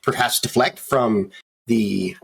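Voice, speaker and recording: A man hosting and running a tabletop game stream, introducing players in an easygoing way with many pauses. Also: medium pitch, vocal fry, a bit bright, breathy edge. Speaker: game master and host.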